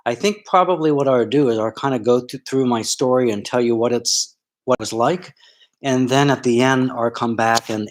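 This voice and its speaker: A middle-aged man from Florida, a sociology professor, speaking live to a small online audience. He talks candidly and loosely, with frequent ums and restarts, at an ordinary pitch. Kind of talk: live-streamed personal talk.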